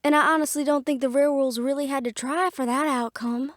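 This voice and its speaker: Woman playing high-voiced, defiant young half-fae. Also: steady tone, a little fast, no room sound, studio quality, natural voice. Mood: sarcastic, irritated. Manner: sarcastic, defiant, snappy. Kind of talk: dramatic audio roleplay acting.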